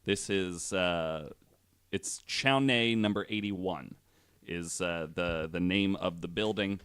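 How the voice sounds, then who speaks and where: A man hosting a podcast, telling stories casually and a bit haltingly, with the odd swear word. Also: low-pitched, fairly bright tone, clean tone, no echo. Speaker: podcast host. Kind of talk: casual storytelling on a podcast, swearing now and then.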